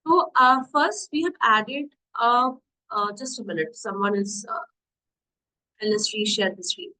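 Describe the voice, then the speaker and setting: A woman's voice: on the high side, unhurried, bright, forceful tone, low-quality recording. A young woman with an Indian accent, giving a nutrition webinar over a video call in a chatty, encouraging way and asking her audience questions. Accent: Indian English. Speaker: diabetes nutrition coach presenting a webinar. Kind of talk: online webinar talk, mixing English and Hindi. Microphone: computer mic on a video call.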